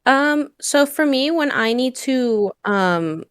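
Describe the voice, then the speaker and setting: A woman with a smooth voice and a smiling delivery, chatting casually as an interview guest. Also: high voice, animated, slow, fairly bright tone, dry recording, studio quality. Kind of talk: casual chat.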